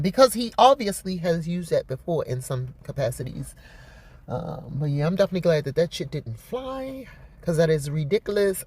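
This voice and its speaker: A woman with a deep voice giving blunt, profane, rambling commentary on a news story, laughing often. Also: darkish tone, breathy edge. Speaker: vlogger.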